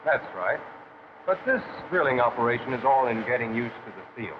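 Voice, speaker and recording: An actor with an American accent playing a practical factory instructor who explains things methodically in a 1940s training film. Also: male voice, mid-range voice, big pitch swings, a little fast, close to an everyday voice. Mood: encouraging. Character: plain-spoken, practical male factory instructor. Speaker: shop floor instructor. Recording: dull, hissy 1940s film soundtrack.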